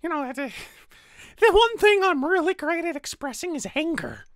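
A woman's voice: very high voice, big pitch swings, normal speed, no room sound, clean studio sound. A woman voicing a small, bitter, foul-mouthed talking flower, numb and angry, in a fan roleplay drama. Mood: weary, bitter. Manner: sarcastic, cursing, stammering when cornered.

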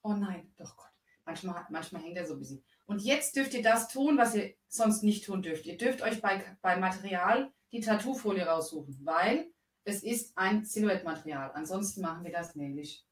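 A woman in her thirties, from Bavaria, chatting her way through a live software tutorial from a craft room at home. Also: mid-range voice, lively intonation, moderate pace. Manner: chatty, explains steps while working. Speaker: crafting livestream host. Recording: roomy echo, voice a bit far off.

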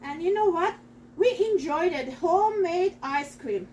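Middle-aged woman, very high voice, excitable home-kitchen demo host, slightly off-mic. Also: a little slow, far from the mic, slight background noise. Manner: chatty and excitable, talks straight to viewers. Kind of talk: live cooking demonstration. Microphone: camera across the counter.

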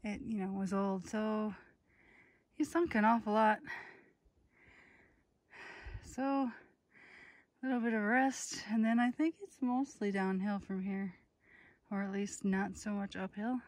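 A woman's voice: medium pitch, fairly even intonation, a little slow, long pauses, a little mellow, no echo. A middle-aged woman from Minnesota vlogging casually to a phone held at arm's length, chatty and self-amused, rambling as she goes. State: out of breath at altitude. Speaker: travel vlogger. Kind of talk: casual vlog to camera. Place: in a car, then outdoors on snowy ski trails.

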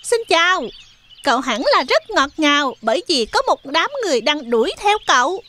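Woman as a high, swooping cartoon hen. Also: sing-song, moderate pace, pushed and bright, heavily acted. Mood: curious. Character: lazy, curious hen perched on a fence. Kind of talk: children's cartoon dub.

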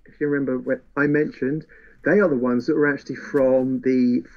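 A man's voice: mid-range voice, fairly even intonation, a little fast. A man, co-hosting a podcast in a casual book discussion. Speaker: podcast co-host. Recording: dull, lo-fi sound.

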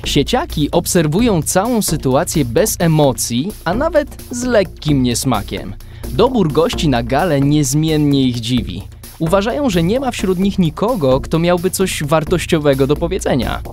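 The narrator of a children's adventure radio play. His voice sits a little high for a man. He tells the story as scripted narration over faint music. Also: big pitch swings, moderate pace, darkish tone. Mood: storytelling. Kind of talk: scripted audio drama narration.

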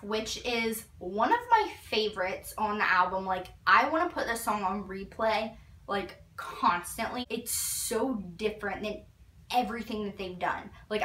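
A teenage girl talks through an album in an eager, gushing way, with "like" in nearly every sentence. Her voice is bright and forward. She sits a little back from the camera in her bedroom, so the sound is roomy. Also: medium pitch. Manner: gushing, filler-heavy with frequent "like". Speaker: vlogger. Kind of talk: casual album review. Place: bedroom, indoors. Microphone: fixed camera a few feet away. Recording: roomy, slightly distant.

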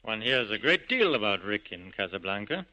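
A man playing a dignified, courteous gentleman in a 1940s radio drama, formal and polite. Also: fairly low pitch, big pitch swings, normal speed. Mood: courteous, curious. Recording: muffled old 1940s radio recording.

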